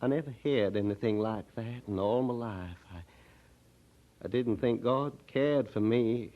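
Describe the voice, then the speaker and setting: An actor playing a humble, ashamed poor country drunkard with a rural Southern American accent, halting and folksy, in a radio drama. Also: male voice, mid-range voice, lightly acted. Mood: humbled, troubled. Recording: dull old radio drama recording.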